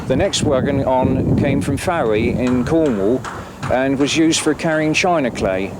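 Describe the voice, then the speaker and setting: Halting man guiding a rail tour. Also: medium pitch. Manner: halting, many pauses and fillers. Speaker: guide at a railway preservation site. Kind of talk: guided tour explanation. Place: outdoors at a railway yard. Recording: loud outdoor noise on old film. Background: engine and wind noise.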